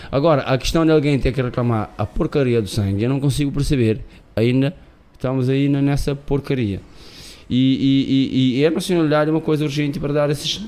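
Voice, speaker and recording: A man's voice: mid-range voice, a little mellow. Emphatic man, a guest from Cape Verde. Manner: emphatic, repeats words while searching for them. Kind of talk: interview conversation.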